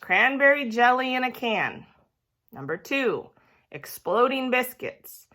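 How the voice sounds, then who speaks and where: Female reader as storybook male teacher: measured, gently exasperated, stern yet kindly, bright tone, expressive pitch. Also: heavily acted. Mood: deliberate reading. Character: weary, patient male schoolteacher, stern but kindly.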